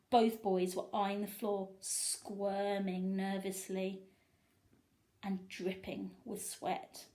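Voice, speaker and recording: A woman reading a storybook aloud to young children in an expressive storyteller style. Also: mid-range voice.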